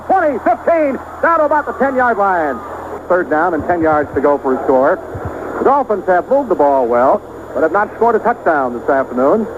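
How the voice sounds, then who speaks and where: An American man calling live football play-by-play on the radio in clipped short phrases, rising excitedly as the action develops. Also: sits high, highs cut off. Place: outdoor stadium broadcast position. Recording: noisy band-limited 1970s radio broadcast.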